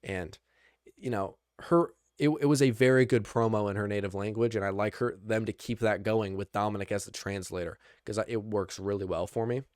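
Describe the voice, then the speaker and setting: A young man hosting a podcast, recapping wrestling in casual chat, rambling and excitable, with lots of restarts. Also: fairly low pitch, fairly quick, dry recording, studio quality. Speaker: podcast host.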